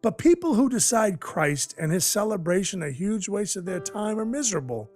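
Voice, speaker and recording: A man playing a cynical, hard-bitten Icelandic dwarf who works for Santa, narrating an audio drama in a wry, deadpan, formal way. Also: high voice, moderate pace. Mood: wry, brooding. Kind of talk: noir-like first-person audio drama narration and dialogue. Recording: music behind the voice.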